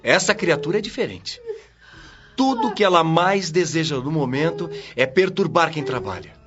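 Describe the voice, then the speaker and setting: A man's voice: a little high, animated, normal speed, muffled recording, lightly acted. A man playing a stern, righteous chief watchman of the spirit world in a radio drama, grave and moralizing.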